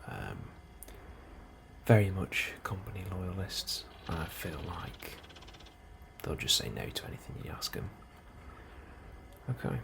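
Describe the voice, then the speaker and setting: A man with a very low, creaky voice speaks softly in an ASMR role-play as a colony clerk. His delivery is hushed and unhurried, at times slipping toward a whisper. Steady ambient noise is clearly audible behind him. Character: calm, helpful male colony immigration officer.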